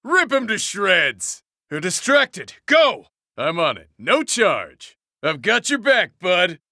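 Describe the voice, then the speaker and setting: A man's voice: high voice, sing-song, slow pace, sharp tone, no room sound, studio quality, heavily acted. A man voicing a cocky, rowdy male brawler in a video game, brash and swaggering. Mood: fierce, cocky. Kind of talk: short battle voice clips.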